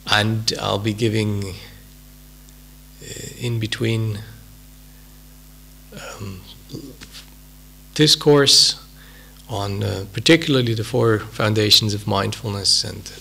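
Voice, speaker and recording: A man with a Swiss accent leads a dharma talk at a retreat, his voice strongly gravelly and husky. He speaks in an unhurried, reflective way, full of pauses and soft fillers. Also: on the low side. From Switzerland. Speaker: meditation teacher.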